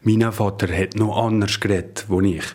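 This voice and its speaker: A man's voice: lowish voice, fairly even intonation, moderate pace, darkish tone. A man with a Valais Swiss German accent, talking spontaneously in an interview. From Upper Valais, Switzerland. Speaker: interviewee, band singer.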